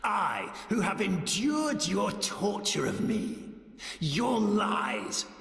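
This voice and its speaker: An actor playing a cruel, possessive and grandiose villain in a video game, speaking theatrically and with menace, like a wounded lover. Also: male voice, high voice, wildly swooping pitch, very slow, creaky, exaggerated voice. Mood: bitter, aggrieved. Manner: theatrical, menacing, swinging from hushed to bellowing. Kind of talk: dramatic video game voice acting. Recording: echoing hall-like reverb.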